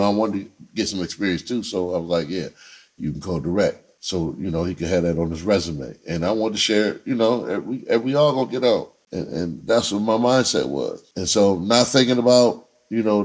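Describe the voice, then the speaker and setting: Middle-aged male storyteller, low-pitched and raspy, loose slangy delivery, off-the-cuff and meandering. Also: moderate pace. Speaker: entertainer and filmmaker telling his own story. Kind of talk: casual storytelling.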